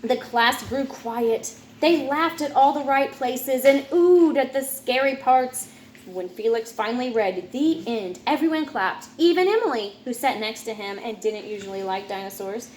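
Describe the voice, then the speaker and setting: A woman's voice: on the high side, animated, normal speed. A woman reading a children's picture book aloud as narrator, with some noise in the recording. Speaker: storybook reader.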